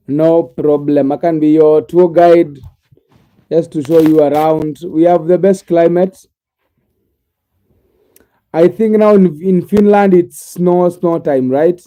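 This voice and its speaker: Kenyan man, live streamer, drowsy and repetitive, dark warm tone, fairly high voice, talking to viewers from home. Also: rough recording. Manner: repetitive, drowsy, talks directly to viewers by name. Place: home sitting room.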